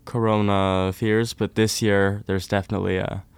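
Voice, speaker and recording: A young man from Norway, a folk musician, chatting casually and warmly in an interview, with lots of "kind of" and "like". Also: on the low side, normal speed, clear, resonant tone, no echo. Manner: relaxed, friendly, uses fillers like "kind of, like".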